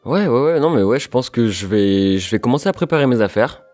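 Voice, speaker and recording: A man's voice: medium pitch, lively intonation, brisk, a little mellow, dry recording, faint music behind. A man playing in a tabletop game, easygoing and rambling as he says what his character does. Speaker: player. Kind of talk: casual tabletop roleplay.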